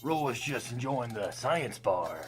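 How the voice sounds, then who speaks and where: Man doing a dim oaf, slow, mid-pitched, blunt, self-satisfied, unbothered, comic radio acting. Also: animated, soft background music, natural voice. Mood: carefree. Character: dim, reckless, self-satisfied oaf who talks in third person.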